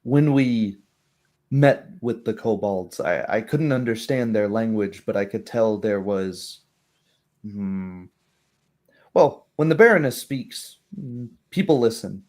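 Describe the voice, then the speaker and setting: A man's voice: lowish voice, darkish tone. A man voicing a hesitant, cautious male game character who hems and halts as he speaks. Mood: uneasy. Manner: halting, hemming mid-sentence. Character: hesitant, cautious man recounting what he saw.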